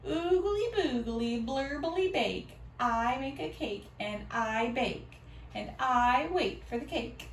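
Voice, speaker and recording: A woman's voice: on the high side, expressive, slow pace, voice pushed up. A woman voicing an eager, stubborn young boy frog from a children's picture book, crying out impatiently. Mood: singsong, happy. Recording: roomy, distant mic, faint noise.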